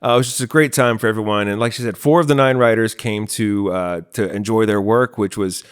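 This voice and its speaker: A man's voice: lowish voice, fairly even intonation, a little fast, clean tone. American man hosting a casual podcast. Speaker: podcast host and producer. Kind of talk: casual chatty podcast introduction.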